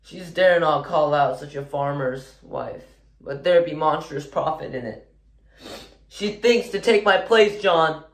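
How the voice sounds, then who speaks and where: Man playing an anguished farmer's wife, pitched up. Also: a little slow. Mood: accusing, pleading. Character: anguished, reserved farmer's wife bursting with accusation. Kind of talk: stage monologue acting. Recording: echoey room.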